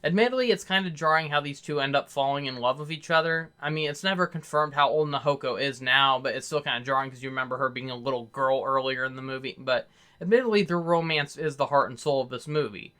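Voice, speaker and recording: A man's voice: medium pitch, fast, pushed and bright, clean tone. A man narrating a scripted video review as the reviewer, in an earnest, opinionated manner.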